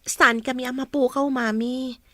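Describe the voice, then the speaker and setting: Woman acting a high-voiced young student. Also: flat delivery, unhurried, bright, forceful tone, no room sound, exaggerated voice. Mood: reassuring. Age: young adult. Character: dutiful young female student, reassuring but a bit fussy. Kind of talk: radio drama dialogue.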